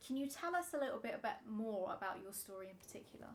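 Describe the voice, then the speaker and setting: A woman's voice: fairly high pitch, animated, fast talker. Upbeat woman interviewer, faint hiss. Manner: upbeat, many "kind of, like" fillers. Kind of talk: interview hosting.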